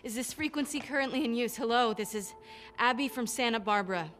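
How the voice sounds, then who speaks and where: A woman's voice: fairly high pitch, brisk, a bit bright, natural voice. An actress playing a determined, hopeful young American woman, a weary fighter speaking plainly and earnestly in a post-apocalyptic game. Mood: anxious, pleading. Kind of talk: naturalistic game cutscene acting. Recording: music behind the voice.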